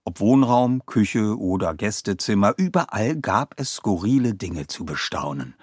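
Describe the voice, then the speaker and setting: A man reading scripted audiobook narration in an expressive storytelling manner. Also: on the low side, measured pace.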